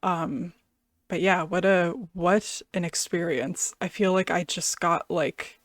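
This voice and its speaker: Woman with a low-pitched voice, casually reviewing music. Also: steady tone, slow pace, no echo, clean studio sound. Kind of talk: casual album reaction commentary, some reading aloud.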